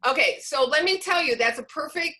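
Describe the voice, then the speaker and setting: A woman trainer with a fairly high, bright voice runs an online workshop. She projects loudly and explains things in an informal, encouraging way. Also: animated, fairly quick. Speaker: workshop presenter, teacher trainer. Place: online video call.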